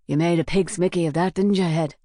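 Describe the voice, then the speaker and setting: A woman's voice: on the low side, steady tone, a little fast, warm tone, no echo, studio quality, lightly acted. A woman voicing a cocky, menacing male gang leader who mocks his captive. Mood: mocking. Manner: mocking, sneering.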